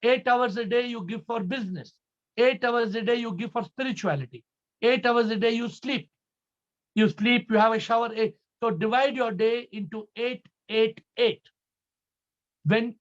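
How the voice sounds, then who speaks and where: Male guru, Indian accent, high-pitched voice, didactic question-and-answer delivery, insistent repetition, dull low-fidelity call sound. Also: fairly bright tone. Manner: emphatic, didactic, repeats phrases and asks rhetorical questions. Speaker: spiritual teacher answering questions. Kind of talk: spiritual question-and-answer session. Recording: dull lo-fi online call audio.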